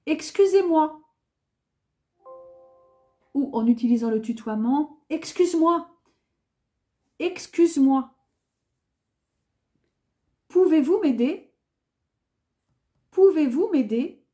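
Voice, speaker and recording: A woman teaching a beginner French lesson, saying each useful phrase twice with careful, clear enunciation. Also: sits high, animated, takes it slowly, long pauses, mellow. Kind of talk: beginner language lesson, repeating phrases slowly.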